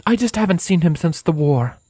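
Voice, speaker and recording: A woman plays a grown unicorn mare in an audio storybook, weary and uneasy. Her soft, mellow voice moves expressively, with a gentle, reluctant manner and a dry, close sound. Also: fairly low pitch, animated, brisk, breathy edge, no echo. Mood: reluctant, nervous. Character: weary, nervous adult female unicorn, gentle.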